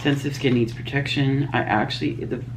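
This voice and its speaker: Sniffly lady doing a makeup box unboxing, super low voice, some background hiss. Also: fairly even intonation, fairly quick. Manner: chatty, reads prices and labels. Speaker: beauty vlogger. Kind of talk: casual unboxing chat.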